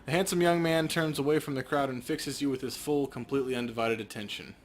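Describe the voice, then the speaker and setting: A man's voice: medium pitch, steady tone, quick pace, a little hiss or hum. A man reading descriptive game narration aloud in a storytelling style. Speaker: let's play co-host.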